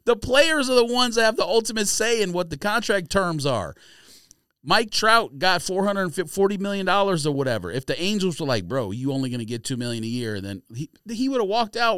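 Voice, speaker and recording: Clear-voiced man with lively, opinionated delivery. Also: high-pitched, a little fast, dry recording, studio quality. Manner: opinionated, argumentative, slangy. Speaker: podcast co-host. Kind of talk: casual sports talk.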